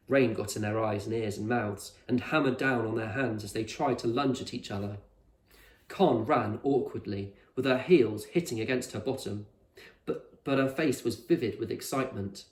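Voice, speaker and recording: Male teacher-narrator, expressive children's storybook read, medium pitch, echoey room. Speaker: teacher reading a children's novel aloud.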